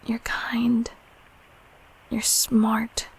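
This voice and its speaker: A woman performs a protective, kindly female closet creature for a comfort audio roleplay. The voice is a slightly high, very breathy whisper with a gravelly creak. It moves slowly and haltingly, soft and reassuring throughout. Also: monotone, exaggerated voice.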